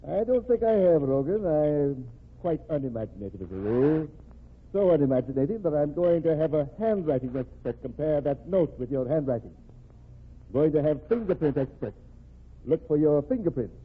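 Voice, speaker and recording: An actor playing a shrewd, stern, self-assured state governor, speaking with measured dry authority in an old-time radio crime drama. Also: male voice, on the high side, expressive, breathy edge. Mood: accusing, dry. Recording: noisy, tinny old radio broadcast recording.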